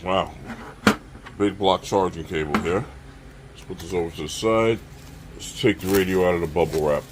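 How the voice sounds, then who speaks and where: A man hosting a casual, unscripted gear review, talking straight to viewers and often checking in with a quick okay or all right. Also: deep voice, background noise. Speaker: survival channel host reviewing gear.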